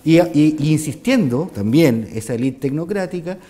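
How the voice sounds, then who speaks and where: A man speaks as a talk-show guest, starting his thoughts haltingly and tacking questions onto his points. His voice is slightly high for a man and has a soft, dark tone. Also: expressive. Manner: hesitant starts, frequent tag questions. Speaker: guest, author and journalist. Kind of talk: interview conversation.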